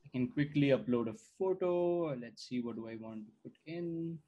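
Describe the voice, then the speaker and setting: Man demoing software over a call. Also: medium pitch, moderate pace, highs cut off. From India. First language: Gujarati. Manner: conversational, many "uh" fillers and "right?" tags. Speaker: startup founder giving a product demo. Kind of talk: screen-share product walkthrough. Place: indoor room on a video call. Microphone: video call webcam mic.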